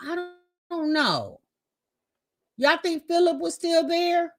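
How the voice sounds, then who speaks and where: A woman's voice: extremely high pitch, animated, slow pace. An American woman giving casual, gossipy reaction commentary in a sassy, conversational way. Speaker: host reacting to a family vlog.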